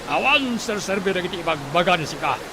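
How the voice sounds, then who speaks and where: An actor playing an angry, domineering, scornful boss in a Philippine radio drama, cursing and interrogating. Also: male voice, high-pitched, expressive, normal speed. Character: angry, domineering male boss hunting his child's assailant. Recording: clearly noisy radio drama audio.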